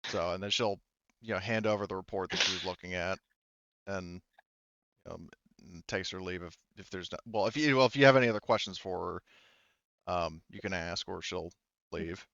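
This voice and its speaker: Smooth low-voiced man running a tabletop game. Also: fairly bright tone, dry recording, dull audio. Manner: halting, reported speech of characters. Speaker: game master. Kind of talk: narrating a tabletop roleplaying game.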